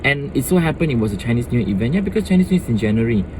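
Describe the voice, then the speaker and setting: A young man with a Malaysian accent, speaking with rising passion and mixing Malay phrases into his English. His pitch sits in an ordinary middle range. Faint street noise and passing traffic sit behind him outdoors. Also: very animated, racing. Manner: impassioned, switching between English and Malay. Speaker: vlogger. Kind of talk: casual opinion rant to camera.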